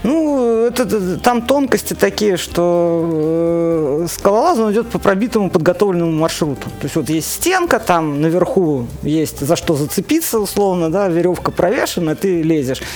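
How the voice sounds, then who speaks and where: A woman's voice: fairly low pitch, lively intonation, normal speed, few pauses, a little mellow. A woman answering questions conversationally as an interview guest, speaking about her own experiences. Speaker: interview guest, solo motorcyclist and climber. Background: faint music.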